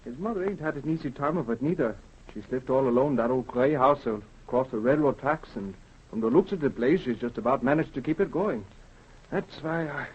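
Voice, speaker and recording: A man as a husky, kindly old-radio grocer. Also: medium pitch, fairly quick, natural voice. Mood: gossipy, sympathetic. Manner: hesitant, folksy, repeats himself. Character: kindly, nosy, talkative small-town grocer. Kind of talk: 1930s radio acting. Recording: dull, muffled 1930s radio recording.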